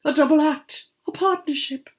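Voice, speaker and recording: A woman's voice: sits high, steady tone, moderate pace, voice pushed up, natural voice. A woman voicing a kindly, sentimental old grandpa badger magician in a storybook, speaking with theatrical feeling. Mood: wistful. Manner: theatrical, heartfelt exclaiming.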